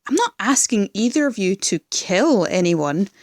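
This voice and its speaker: A woman's voice: mid-range voice, sing-song, normal speed, no echo, clean studio sound. A woman playing a scheming, domineering crime boss with a commanding, sardonic manner in an audio drama. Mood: cool threat. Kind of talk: fan audio drama acting.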